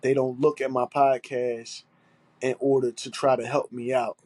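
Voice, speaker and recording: A man with a blocked, stuffy nose holds forth alone on his podcast. He sounds aggrieved and hammers each point through repetition, with rough language thrown in. His mid-pitched voice sits close and dry, with no room sound. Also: flat delivery, normal speed, a little mellow, no room sound. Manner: aggrieved, emphatic, repeating himself, swearing. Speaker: podcast host. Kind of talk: solo monologue podcast.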